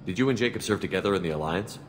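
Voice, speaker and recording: Casting: male actor as a principled, blunt commander for a game, quick delivery, very low voice, reverberant sound. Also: a little hiss or hum, close to an everyday voice. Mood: direct, businesslike. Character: firm, direct military commander, wary and principled. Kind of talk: video game voice acting.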